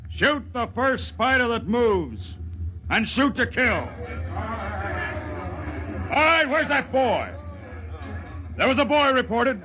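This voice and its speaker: An actor playing a brusque, contemptuous military officer in a 1950s radio drama, with a commanding delivery. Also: male voice, extremely high pitch, lively intonation, slow, pauses often, pushed and bright, full character voice. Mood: barking, commanding. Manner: barking, commanding. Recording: noisy vintage radio recording.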